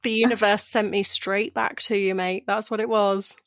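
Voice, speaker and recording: A woman's voice: mid-range voice, flat delivery, moderate pace, dry recording, studio quality. A woman hosting a podcast and chatting in a friendly way, laughing as she reminisces. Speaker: podcast host, stylist. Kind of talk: casual chat.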